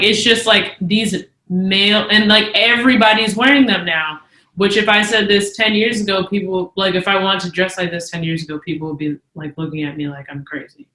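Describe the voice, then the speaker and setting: A woman's voice: on the low side, pushed and bright, echoey room, low-quality recording. A young woman co-hosting a casual podcast who speaks candidly and emphatically and says "like" a lot. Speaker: podcast co-host.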